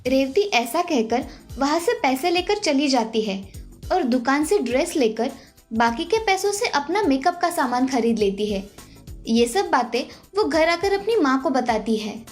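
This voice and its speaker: A woman reading scripted narration for an animated story. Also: high voice, measured pace, soft background music.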